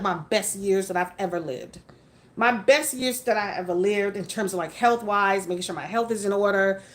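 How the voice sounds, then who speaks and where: Chatty woman in her forties streaming live. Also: mid-range voice. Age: 40s. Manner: chatty, reads out and answers viewer comments; frank, mildly profane, emphatic. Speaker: livestream host. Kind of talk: casual livestream chat.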